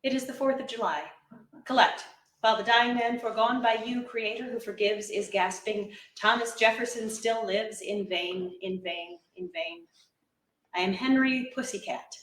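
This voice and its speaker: A woman giving a dramatic, measured poetry recitation to an audience, line by line. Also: a little high, fairly bright tone. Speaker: actor giving poetry readings. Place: large indoor library room at a live event. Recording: echoey room, slightly distant mic.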